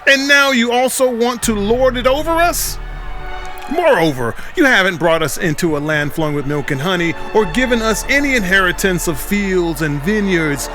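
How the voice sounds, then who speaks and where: A man's voice: high-pitched, animated, moderate pace, music in the background, pitched above the performer's own voice. A man voicing two defiant male rebels who bitterly accuse their leader.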